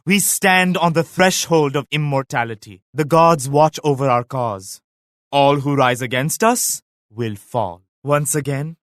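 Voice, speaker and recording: An actor playing a devout, solemn emperor and military leader in a strategy game, with measured, grave, pious declamation. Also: male voice, mid-range voice, fairly bright tone, no room sound, studio quality, lightly acted. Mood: solemn, resolute. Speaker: video game character voice actor. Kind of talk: scripted strategy game voice lines.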